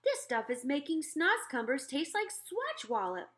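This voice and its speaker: A woman puts on a huge, friendly storybook giant, childlike and excitable. The voice is high and swoops around, mangling words and grammar with glee. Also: unhurried, full character voice. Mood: excited, exclaiming.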